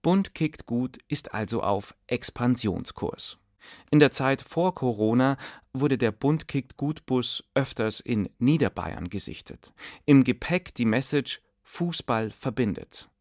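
A male narrator reads a documentary script in a measured, clear way. The sound is dry and close, with no room around the voice. His pitch sits in the middle range for a man. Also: a little mellow, no room sound. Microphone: close studio mic.

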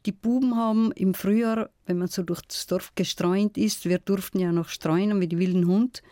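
A middle-aged woman with a South Tyrolean accent, telling stories in a thoughtful, measured radio interview. Her voice is warm and mellow. It is recorded close and dry in a studio. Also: mid-range voice, fairly even intonation, dry recording. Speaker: guest, folklorist.

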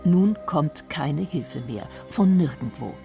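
A woman reads a radio documentary script in a measured, explanatory way. Her voice is lowish and mellow, with faint music underneath. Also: expressive, creaky. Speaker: narrator.

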